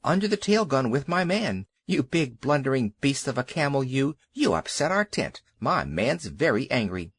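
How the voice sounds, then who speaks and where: A man putting on a cheeky little female terrier, high and yappy, a storybook pet who scolds the big beasts. The voice jumps up sharply and sounds dry and close. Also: sing-song, normal speed, few pauses, slightly rough, dry recording. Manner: high, yapping, saucy.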